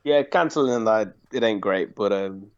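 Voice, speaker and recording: A hesitant young man of about twenty chats about football as a podcast guest. He fills pauses with ums and small laughs, and his voice comes through slightly dull and lo-fi. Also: lowish voice. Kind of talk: casual football chat.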